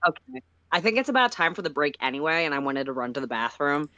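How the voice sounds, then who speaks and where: A woman's voice: low voice, big pitch swings, fast, bright, forceful tone, no room sound. A woman co-reading on a livestream, chatting casually with playful slang over a voice call. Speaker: livestream co-reader. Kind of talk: casual chat. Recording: muffled Discord voice call.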